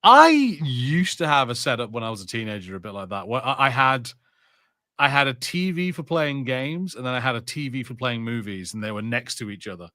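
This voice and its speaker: A man co-hosting a podcast, telling casual anecdotes in a halting, rambling way and often repeating words. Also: medium pitch, very animated, bright, forceful tone, no echo, studio quality. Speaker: podcast co-host. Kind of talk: casual chat and personal anecdotes.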